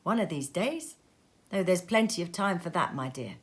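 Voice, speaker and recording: A woman voicing a gentle, grieving Victorian father in a storybook reading, with an earnest, emotional and tender manner. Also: lowish voice, expressive, normal speed, lightly acted. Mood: tender, hopeful.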